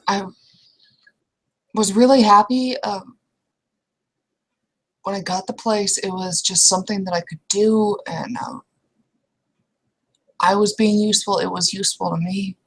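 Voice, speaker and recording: A woman roleplaying a weary, conflicted, blunt young female werewolf, speaking haltingly and coarsely in an improvised monologue. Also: medium pitch, steady tone, slightly rough. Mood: downcast, hesitant. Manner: hesitant, halting, coarse-mouthed.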